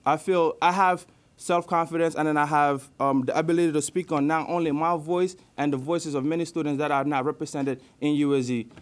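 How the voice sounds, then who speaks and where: A young man student giving an earnest campaign speech, pausing on fillers as he goes. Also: a little high, fairly even intonation, clean tone. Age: young adult. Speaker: student election candidate.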